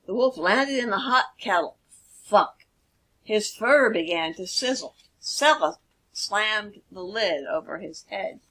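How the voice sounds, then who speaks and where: Slow, expressive woman storyteller with husky voice. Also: mid-range voice, poor audio. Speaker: author reading own children's book.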